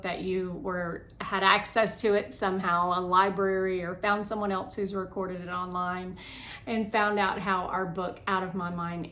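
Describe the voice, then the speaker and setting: A woman narrating a children's story as a read-aloud host, in an expressive way, acting out the dialogue. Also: mid-range voice. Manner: animated, acts out dialogue.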